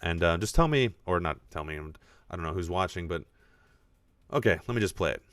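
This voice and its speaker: Deep-voiced male podcast host, excitable, restarts often, low pitch, dry close sound. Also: fairly quick, dry recording. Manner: excitable, thinks aloud with frequent restarts. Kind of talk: casual video podcast commentary.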